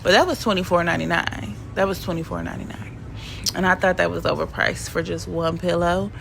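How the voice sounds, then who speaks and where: A woman's voice: lowish voice, steady tone, brisk, creaky, noisy background. A woman with a stuffy, sniffly cold, chatting playfully in slang as she narrates a shopping vlog from home. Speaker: vlogger. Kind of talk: casual shopping vlog voiceover. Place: home, recorded voiceover.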